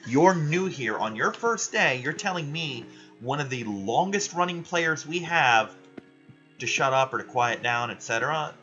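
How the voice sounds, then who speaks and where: A young man ranting loudly and swearing in casual chat while he plays a video game. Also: mid-range voice, lively intonation, unhurried, dull audio, soft background music. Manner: loud, ranting, frequent swearing. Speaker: gaming vlogger.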